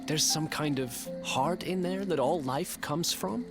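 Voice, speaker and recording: An actor voicing a down-to-earth, curious young adventurer in a video game, plain-spoken. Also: male voice, a little high, a little slow. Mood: musing. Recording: loud game music over the voice.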